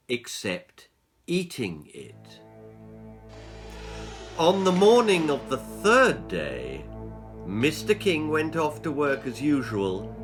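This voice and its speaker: Male author-narrator for children's tales: bright, forceful, dramatic and suspenseful storytelling. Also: fairly high pitch. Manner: theatrical, suspenseful delivery. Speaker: author reading his own children's story.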